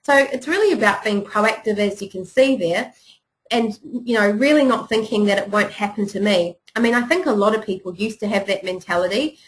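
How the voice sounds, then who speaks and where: A woman's voice: mid-range voice, a bit bright, poor audio. A woman with a New Zealand accent presenting a business webinar in a chatty, encouraging way, with frequent "you know" and "um" fillers. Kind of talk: business preparedness webinar talk.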